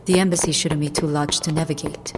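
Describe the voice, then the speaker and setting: A woman playing a cool, focused young infiltrator with secret powers, narrating an audio drama in a measured, matter-of-fact way. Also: low voice, steady tone, background noise, natural voice. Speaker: narrator and lead character.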